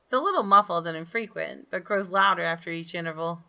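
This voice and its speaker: A woman reading a visual novel aloud as expressive, dramatic storytelling for a gaming video. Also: on the low side, quick pace, vocal fry. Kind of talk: expressive scripted narration with brief asides. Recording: game music behind the voice. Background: soft game music.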